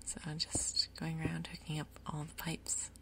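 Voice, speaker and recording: A woman gaming host giving soft, whispery ASMR commentary, casually thinking aloud in an unhurried way. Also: low-pitched, monotone. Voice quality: soft, whispery, breathy undertone. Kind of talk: soft-spoken ASMR Minecraft gameplay commentary.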